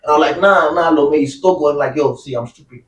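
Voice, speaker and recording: A Ghanaian man in a relaxed group chat, spinning lively stories and laughing easily. His voice sits a little high. He sounds echoey and set back from the mic, as if in a bare room. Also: fast, far from the mic, slight background noise. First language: a Ghanaian language. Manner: animated storyteller, laughs often. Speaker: panel guest. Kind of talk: casual chat.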